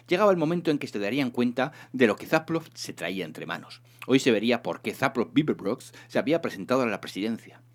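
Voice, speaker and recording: Low-voiced man narrating with lively swings. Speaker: reader of a serialized humorous sci-fi novel. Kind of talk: expressive scripted narration, audiobook reading.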